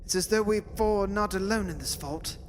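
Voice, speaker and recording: Man acting a high-voiced, blustering, scoffing father. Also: a little fast, a little hiss or hum, lightly acted. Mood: uneasy. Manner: theatrical, blustering. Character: stubborn, scoffing father who mocks an old legend. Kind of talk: melodramatic radio horror acting. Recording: long hall reverb.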